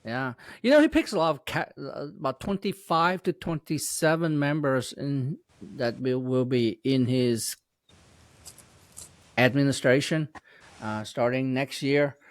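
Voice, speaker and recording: A man's voice: mid-range voice, a little slow, no room sound. A man hosting an interview show, asking questions with frequent stumbles and self-corrections. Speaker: host. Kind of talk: casual interview.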